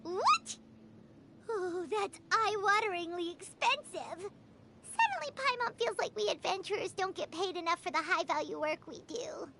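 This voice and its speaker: A woman voicing a tiny, childlike, excitable and money-loving fairy companion in a video game, with squeaky exclamations. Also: moderate pace, noisy background, heavily acted. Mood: shocked, grumbling. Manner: exclamatory, speaks of self in third person.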